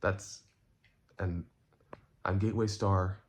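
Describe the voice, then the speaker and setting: A man speaks in a fairly low voice, addressing his online viewers directly. His delivery is casual and earnest, like an unscripted explanatory rant. He moves haltingly through his thoughts and often repeats a phrase to drive it home. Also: steady tone. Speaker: content creator and streamer.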